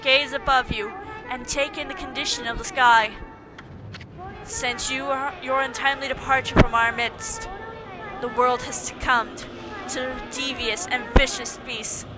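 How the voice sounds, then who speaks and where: Woman as a gentle guardian spirit woman, high voice, formal, reverent, pleading, video game cutscene, music behind. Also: fairly even intonation, a little slow. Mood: solemn, pleading. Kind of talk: video game cutscene voice-over, read aloud.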